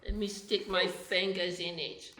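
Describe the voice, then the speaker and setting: Woman as suspicious child, deliberate pace, echoey room, blunt, indignant, improvised radio play. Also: on the low side, little pitch movement, bright, forceful tone. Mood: indignant accusing. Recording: roomy, echoing voice recording.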